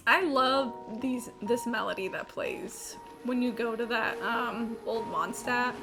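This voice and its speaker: A woman's voice: fairly high pitch, takes it slowly, low-quality recording. A young woman chatting casually on a gaming livestream, informal, rambling and sweary. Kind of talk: casual gaming stream chat. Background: game music.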